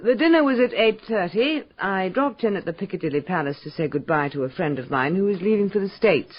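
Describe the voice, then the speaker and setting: A woman's voice: mid-range voice, lively intonation, brisk, slightly rough. An actress playing a vain, breezy society lady with an airy, capricious, theatrical manner in a radio drama. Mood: casual. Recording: slightly muffled radio drama sound.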